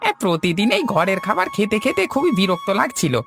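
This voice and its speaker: A man's voice: sits high, sing-song, normal speed, gravelly, exaggerated voice. A man voicing a cheeky, sly, wheedling sidekick to a village landlord in a cartoon, hesitant and fawning. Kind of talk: cartoon dub. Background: music.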